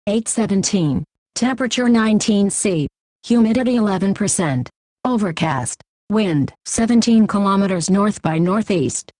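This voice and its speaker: A woman voicing a clinical AI implant that reports data in a flat, factual, clipped manner for an audio story. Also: mid-range voice, normal speed, no room sound, lightly acted, rough recording. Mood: impassive. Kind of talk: scripted audio story character.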